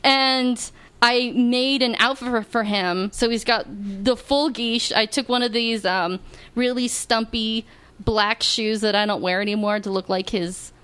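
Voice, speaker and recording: A woman's voice: on the high side, fairly even intonation, measured pace, a bit bright, smooth. A woman telling a casual story on a comedy podcast, hesitant and full of small fillers. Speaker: podcast guest.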